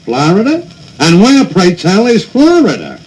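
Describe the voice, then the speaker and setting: A man voicing a loud, pompous caveman who talks with mock-formal bluster. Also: sits high, huge pitch swings, unhurried, slightly rough, heavily acted, rough recording. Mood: excited.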